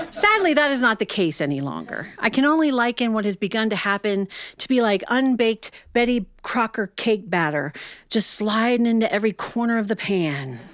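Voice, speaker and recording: A middle-aged woman from the United States telling humorous personal stories to a live audience in a folksy, confiding way with dramatic pauses. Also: on the high side, lively intonation, a bit bright. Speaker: storyteller. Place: performance studio with a live audience.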